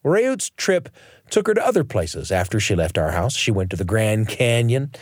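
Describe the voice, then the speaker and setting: A man hosting a radio show, telling a scripted personal story in measured, expressive phrases with dramatic pauses. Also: lowish voice, dry recording, studio quality.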